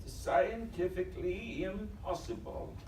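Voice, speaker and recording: A man playing a nervous, skeptical ship's doctor in a live audio drama, speaking haltingly in formal, old-fashioned phrases. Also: high-pitched, lively intonation, a little mellow. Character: fussy, nervous, skeptical ship's doctor in a Christmas ghost tale. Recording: roomy live stage, distant, noisy.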